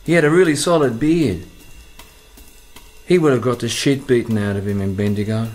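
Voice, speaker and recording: A man's voice: medium pitch, moderate pace, darkish tone, soft background music, lightly acted. A man narrating as a wry Australian storyteller. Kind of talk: radio play narration.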